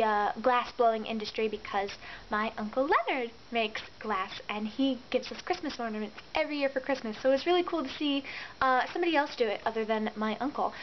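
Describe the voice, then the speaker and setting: A young woman recaps her travels in a chatty, repetitive vlog style. Her voice sits high and bright. The sound is slightly muffled, with a faint hiss underneath. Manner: chatty, repeats words for emphasis. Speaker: vlogger. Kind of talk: casual travel vlog narration.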